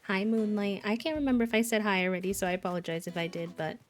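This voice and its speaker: A woman's voice: mid-range voice, steady tone, fast, soft background music. A woman streaming a game, chatting casually and greeting viewers by name. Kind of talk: casual livestream chat while gaming.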